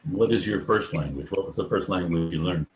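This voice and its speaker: A male professor teaching an online class, asking students questions and explaining slowly, often pausing with "um" as he thinks aloud. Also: low-pitched. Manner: unhurried, thinking aloud with many ums.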